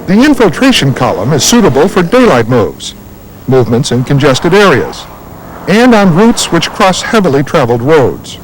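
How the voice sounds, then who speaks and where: An American man reads scripted instructional narration for a military training film in a measured, formal manner. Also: fairly high pitch, very animated, a little hiss or hum, rough recording.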